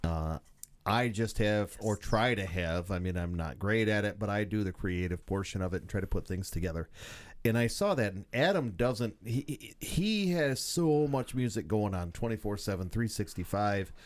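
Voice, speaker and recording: A man's voice: lowish voice, animated, normal speed. A man hosting a radio show, chatting casually and rambling with many fillers.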